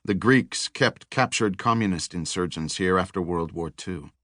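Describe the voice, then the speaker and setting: A man's voice: deep voice, normal speed, a bit bright, no echo, studio quality. A man voicing a restless, learned male researcher in an audiobook, brooding and wry, with a lecturing manner.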